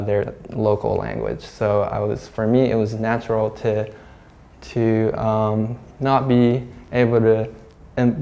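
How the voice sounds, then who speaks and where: Soft-pitched Japanese man giving a halting gallery talk. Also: vocal fry. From Hong Kong. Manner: halting, many fillers and restarts. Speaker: glass artist.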